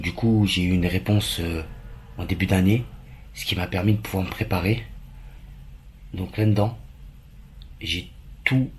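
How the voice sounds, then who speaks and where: A man's voice: low voice, a little hiss or hum. A man from France, talking casually and earnestly to the camera as a vlogger, repeating phrases for emphasis. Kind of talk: casual vlog chat to camera.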